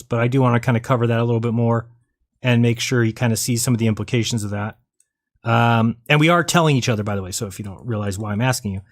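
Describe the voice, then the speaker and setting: A man hosting a live online show in a casual, rambling and blunt way, thinking aloud as he goes. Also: mid-range voice. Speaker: host of a live online debate and call-in show. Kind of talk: casual, rambling livestream chat, sometimes reading viewer comments.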